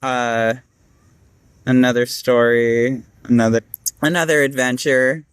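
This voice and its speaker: A man's voice: mid-range voice, little pitch movement, slow, smooth, no echo. A man chatting casually in an online meeting, hesitant and often searching for his words. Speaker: actor discussing his project. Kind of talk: casual chat.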